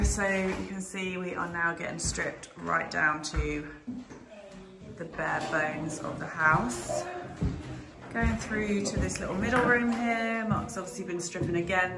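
A woman chatting casually with many "um"s while walking through a bare, empty house, filming on her phone. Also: fairly low pitch. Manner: chatty, frequent "um" and "so". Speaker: vlogger touring a house renovation. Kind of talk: casual walkthrough narration. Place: empty stripped-out house, indoors.

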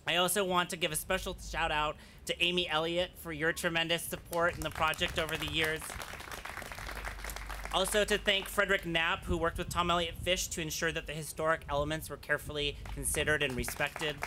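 A man in his thirties, an arts official, giving an earnest, slightly halting speech of thanks at an outdoor podium. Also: fairly high pitch, steady tone, a crowd in the background, background noise. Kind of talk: ceremony speech with thank-yous. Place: outdoor plaza at a groundbreaking. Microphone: podium mics.

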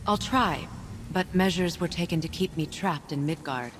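An actress playing a wise, measured witch goddess who guides and instructs in a fantasy video game. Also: fairly low pitch, natural voice. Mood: solemn. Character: wise, calm witch goddess, guiding and solemn. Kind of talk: video game cutscene dialogue. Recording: clear background noise from game ambience.

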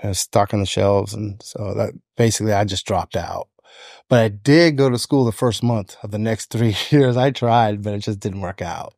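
A man's voice: fairly low pitch, normal speed, vocal fry, a little mellow, no room sound, clean studio sound. A man in his fifties with an American accent, telling personal stories casually as a podcast guest. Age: 50s. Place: indoor podcast studio. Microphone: close studio microphone on a stand.

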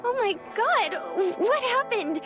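Visual novel sweetheart, played by a woman: naive young woman, very high, slow, gentle, eager to please. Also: sing-song, heavily acted. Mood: excited, startled. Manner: gentle, hesitant, girlish. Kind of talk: dramatic visual novel read-aloud. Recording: loud music behind.

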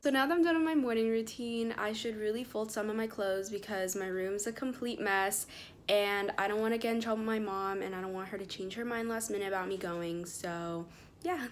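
A woman's voice: mid-range voice, fairly even intonation, fairly quick. A young woman chatting casually to her phone camera in a day-in-the-life vlog, upbeat and full of "like" fillers. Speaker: vlogger. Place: bedroom at home, later outdoors on a windy beach. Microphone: phone camera at arm's length.

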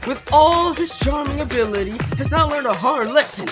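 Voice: sits high, expressive, normal speed. A performer playing an indignant younger brother, a musician trading scornful rap insults in a video game. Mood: gloating. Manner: scornful, heated, emphatic. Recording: hip hop music behind voice.

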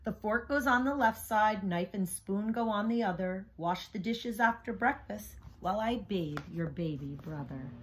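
A woman's voice: medium pitch, normal speed, few pauses, a little hiss or hum, natural voice. A woman voicing a firm but encouraging storybook mother who gives orders and coaxes. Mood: brisk, instructive. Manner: giving orders, coaxing. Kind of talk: picture-book character reading.

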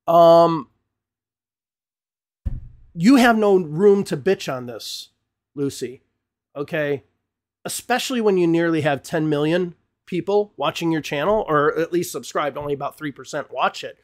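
A man's voice: on the high side, animated, measured pace, long pauses. A middle-aged man, host of his own channel, gives an emphatic, opinionated commentary to camera from a small home studio, often repeating words as he gets worked up. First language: English. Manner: gestures broadly with hands while talking. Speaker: English teacher and channel host. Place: small home studio room with bookshelves, indoors. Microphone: podcast mic on desk in front of him.

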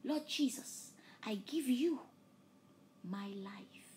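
A woman's voice: fairly high pitch, animated, slow, slight background noise. Fervent woman preaching. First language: Yoruba. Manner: fervent, rising to loud emphatic peaks, then dropping to hushed near-whispers. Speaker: preacher and host. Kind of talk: preaching a sermon with an altar call.

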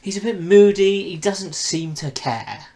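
A man's voice: high voice, expressive, a little slow, warm tone. A young man in a casual, conversational review chat, with a wry manner. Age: young adult. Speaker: podcast reviewer and co-host. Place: bedroom, indoors. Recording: noisy home room recording.

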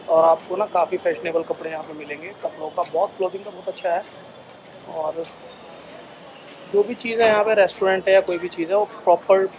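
A man's voice: high-pitched, noisy background. A man in his thirties, a vlogger chatting casually to his viewers on a phone camera while exploring a market and a cafe. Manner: chatty, addresses viewers as guys. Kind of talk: casual travel vlog. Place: car, then a busy street market and a cafe.

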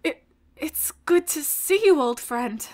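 A woman's voice: fairly high pitch, very animated, slow pace, pushed and bright, full character voice. A woman voicing an earnest, proud female knight for a comedic cartoon dub. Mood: weak, grateful.

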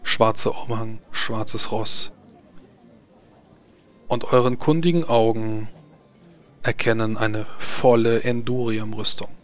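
A man's voice: fairly low pitch, steady tone, takes it slowly, long pauses. A man narrating a tabletop fantasy game as game master, reading scene descriptions.

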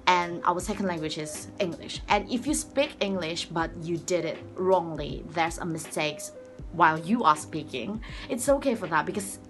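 A woman's voice: fairly low pitch, lively intonation, moderate pace, fairly bright tone, soft background music. Young Malaysian woman vlogging earnestly. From Sabah, Malaysia. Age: young adult. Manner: earnest, rambling with many restarts and fillers. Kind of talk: casual talk to camera. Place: small room indoors, by a door. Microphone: front-facing camera at arm's length.

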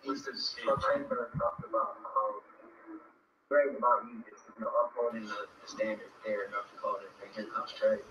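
A man's voice: lowish voice, fairly even intonation, moderate pace, pushed and bright. A man asking a question as a reporter at a press conference. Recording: distant, echoey, muffled, noisy room audio.

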